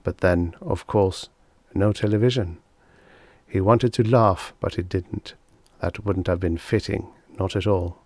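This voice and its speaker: A man narrating a story as an audiobook reader, measured and expressive. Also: sits low, no room sound.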